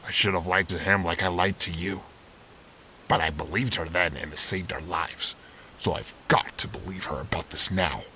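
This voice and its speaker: A man plays an older animal uncle in an animated drama, with a very low, forceful voice. He speaks deliberately and persuasively, like a weathered mentor who holds on to hope. A light hiss sits behind the voice. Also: rough recording. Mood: bitter, pleading. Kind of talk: dramatic fandub dialogue.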